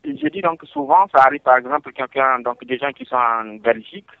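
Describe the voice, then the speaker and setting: A man's voice: mid-range voice, wildly swooping pitch, a little fast, vocal fry, no room sound. A man working as a customer support agent, talking on the telephone in a polite, repetitive, reassuring way. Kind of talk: customer service phone call. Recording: narrow tinny phone line, dry.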